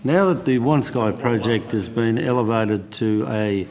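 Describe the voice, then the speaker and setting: An Australian man questioning officials as a senator in a hearing, with a blunt, halting, sardonic manner. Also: medium pitch, a crowd in the background. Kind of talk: parliamentary questioning. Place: committee hearing room.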